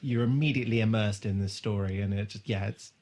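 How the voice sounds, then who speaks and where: A man's voice: on the low side, quick pace, a little mellow. A man co-hosting a podcast, chatting casually as he talks through a rewatch. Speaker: podcast co-host.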